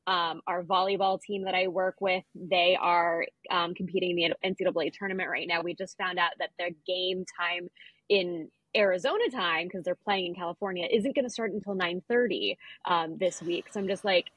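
A woman's voice: fairly low pitch, steady tone, normal speed, fairly bright tone, slightly rough, no echo, dull audio. An American woman in her thirties co-hosting a podcast, chatting casually over a video call. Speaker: podcast co-host. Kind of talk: casual chat. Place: indoor room at home, on a video call.

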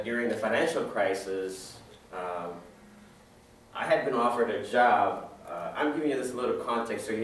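Deep-voiced man lecturing in an echoey room. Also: distant mic, slight background noise. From Ethiopia. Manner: conversational, many fillers and restarts. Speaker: guest lecturer. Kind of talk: classroom talk. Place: large dim lecture room. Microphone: far camera.

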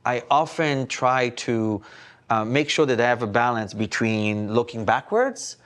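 A man's voice: mid-range voice, expressive, a little slow, fairly bright tone. A middle-aged man from Belgium, a rabbi, speaking thoughtfully in a panel discussion and often checking in with "right?". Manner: thoughtful, often adds "right?" to his points. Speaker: rabbi and spiritual counselor. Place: indoor studio set with plants.

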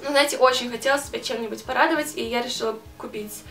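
A young woman in her twenties talks through a casual haul in her bedroom, chatty and animated, with self-mocking asides. Her voice sits a little high. The camera mic picks her up from a short way off, and the room adds a roomy ring. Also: quick pace, distant mic, faint music behind. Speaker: beauty vlogger. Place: bedroom with string lights, indoors.

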